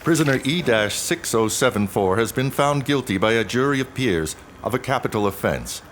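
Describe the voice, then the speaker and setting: A man's voice: mid-range voice, animated, normal speed, a bit bright, slight background noise, natural voice. A man playing a stern police lieutenant in an audio drama, speaking in a clipped, official, procedural way. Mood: formal, stern.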